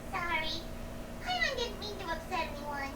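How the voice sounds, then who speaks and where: A woman voicing a tiny, excitable, blunt childlike fairy companion in an anime video game. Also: measured pace, roomy sound, far from the mic, very noisy, heavily acted. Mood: apologetic. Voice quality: squeaky, childlike.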